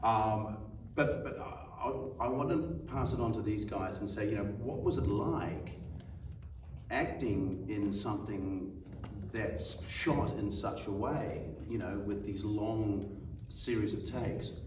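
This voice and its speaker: A man's voice: lowish voice, slow, a little mellow. A man hosting a live festival Q&A on a cinema stage, in a loose, chatty manner full of fillers. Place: cinema stage before an audience. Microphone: faulty handheld mic. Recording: echoey venue, distant mic, background noise.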